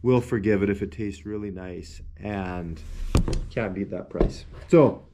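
A man's voice: lowish voice, measured pace, noisy background. Easygoing man rambling through a product review. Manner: rambling, easygoing, talks while handling the tub and shaker. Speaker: protein powder reviewer. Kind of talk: casual product review to camera. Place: small indoor room with shelves of supplement tubs. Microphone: camera at a desk, wide framing.

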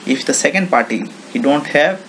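A man with an Indian accent giving an informal, unscripted software tutorial in halting English with non-native phrasing. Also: on the high side, animated, a little hiss or hum, low-quality recording. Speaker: software demonstrator.

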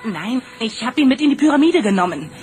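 A woman's voice: fairly high pitch, sing-song, fast talker, darkish tone, slight background noise. A woman voicing a curious, eager young boy in a radio play. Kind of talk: German radio play dialogue.

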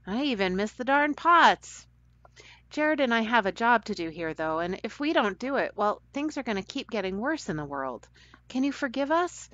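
A woman's voice: a little high, sing-song, normal speed, fairly bright tone, no room sound, voice pushed up. A woman voicing an earnest young girl who pleads and apologizes, in a dry, dull recording. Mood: pleading.

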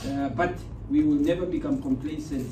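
A man's voice: fairly high pitch, sing-song, moderate pace, noisy background. A man in a meeting room speaking with emphasis and repeating his points, as a senior manager. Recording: roomy sound from far off. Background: music.

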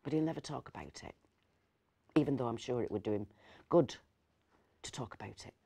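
A woman's voice: rumbling low, animated, fairly quick, gravelly, mellow, slightly rough, heavily acted. A middle-aged woman, playing a fussy, kindly, rambling Christmas dinner host in a storytelling monologue. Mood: concerned, subdued. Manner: hesitant, digressive, self-correcting. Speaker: actor reading a story. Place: indoors, seated in an armchair.

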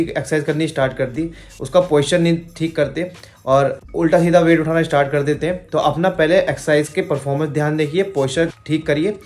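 Friendly young man giving gym tips. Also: fairly high pitch. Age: young adult. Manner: friendly, direct advice, frequent restarts. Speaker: fitness vlogger. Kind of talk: casual talking-to-camera fitness tips. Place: indoor room at home.

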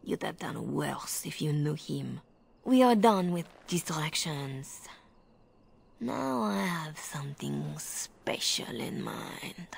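An actress playing a seductive, commanding spymaster in a video game, with a teasing, possessive, silky manner. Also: female voice, low-pitched, expressive, slow pace, vocal fry, husky, full character voice.

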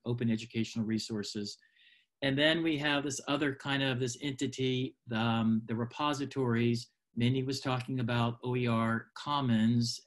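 Male webinar moderator, fifties, average pitch, informal and halting, recorded on a video call at home. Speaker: webinar host. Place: small home room, indoors. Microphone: computer mic on a video call.

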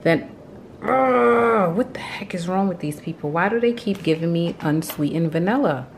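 Woman, thirties, low voice, chatty, venting with small laughs, kitchen vlog. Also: expressive, moderate pace, creaky, a little hiss or hum. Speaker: home vlogger. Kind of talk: casual grocery haul and cleaning chat. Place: home kitchen. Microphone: handheld phone camera.

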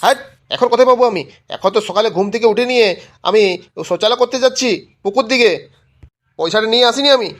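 A man's voice: very high voice, quick pace, long pauses, slight background noise. Guy doing a village grandad in a cartoon, flustered, dodgy, full of excuses and protests. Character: evasive, flustered village grandfather dodging a debt. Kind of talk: amateur cartoon comedy voice acting.

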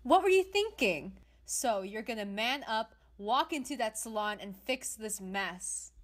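Woman as stern, high-voiced cartoon mom. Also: animated, unhurried. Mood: exasperated. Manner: curt, scolding. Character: stern, exasperated, brisk mother of a teenager. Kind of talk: animated cartoon voice acting.

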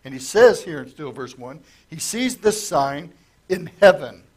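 A middle-aged man preaches from a lectern in a church sanctuary, asking the congregation questions as he works through scripture. His voice sits a little higher than most men's. He is losing it, so it comes out strained in places. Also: expressive, unhurried, a little mellow. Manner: conversational, asks the congregation questions. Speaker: pastor. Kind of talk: sermon, verse-by-verse Bible teaching.

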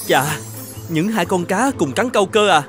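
Poor young cartoon fisherman, good-natured, high swoopy voice. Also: lively intonation, normal speed. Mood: excited, cheerful. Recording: music bed under the voice.

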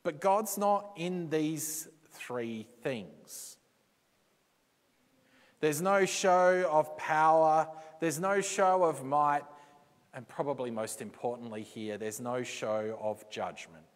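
A man preaching a sermon in a church, slow and deliberate, with dramatic pauses and quotes he acts out. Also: a little high. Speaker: pastor. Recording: reverberant church hall.

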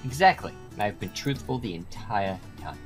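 Man acting insistent ancient immortal, lower voice. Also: animated, moderate pace, soft background music, natural voice. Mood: indignant. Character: centuries-old schemer, self-righteous male leader of a quest. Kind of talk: improvised Minecraft roleplay.

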